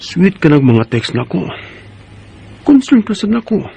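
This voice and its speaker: A man's voice: a little high, big pitch swings, a little slow, gravelly, mellow, a little hiss or hum, heavily acted. A man with a Cebuano Bisaya accent playing a lovesick married friend, insistent and sulky, in a radio drama. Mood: sweet, wooing.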